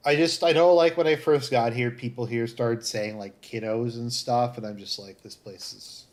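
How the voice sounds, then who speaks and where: A man with a Southern Ontario Canadian accent, chatting casually as a livestream host in a halting, rambling way that sounds like thinking aloud. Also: medium pitch, steady tone, darkish tone. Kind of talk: casual chat, live commentary.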